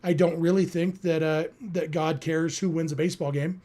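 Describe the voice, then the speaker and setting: High-pitched American man, casual sports host. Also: fairly even intonation, moderate pace, dark tone. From California, United States. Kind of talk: casual live sports talk, answering listener chat.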